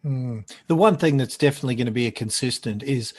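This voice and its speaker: A man chatting as a podcast guest, loud and animated, rambling through stories and correcting himself as he goes. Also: mid-range voice, quick pace. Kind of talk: casual chat.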